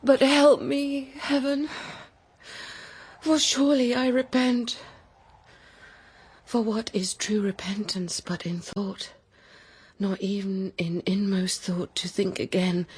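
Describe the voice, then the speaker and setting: Tormented queen, hushed soliloquy, extremely breathy, unhurried, poetic radio drama. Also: medium pitch, fairly even intonation. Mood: pleading. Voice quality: breathy, whispery. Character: guilt-ridden queen, repentant yet longing for her lover.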